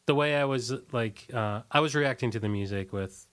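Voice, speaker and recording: A man being interviewed in a casual, rambling way, halting and often restarting his sentences. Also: medium pitch, fairly bright tone, clear, resonant tone. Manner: rambling, halting, many false starts. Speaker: interview guest, punk band singer. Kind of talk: casual conversational interview, recollecting.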